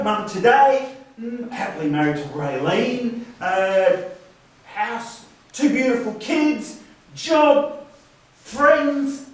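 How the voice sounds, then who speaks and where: Echoey, high-pitched man acting a bitter brother. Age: middle-aged. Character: bitter, quarrelling adult brothers in a family drama. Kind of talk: stage play acting. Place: echoey indoor space, far from the mic. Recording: very echoey room, distant mic, hiss.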